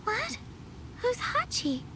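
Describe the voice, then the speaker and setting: High, slow, gentle teenage girl. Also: wildly swooping pitch, exaggerated voice. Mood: confused. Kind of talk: anime game dub acting. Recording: loud music behind the voice.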